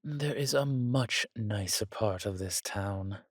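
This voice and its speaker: Man voicing a husky, courtly vampire seducer. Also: on the low side, slow pace, no echo, clean studio sound. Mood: suave, teasing. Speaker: voice actor in an audio drama. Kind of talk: intimate romantic audio roleplay addressed to the listener.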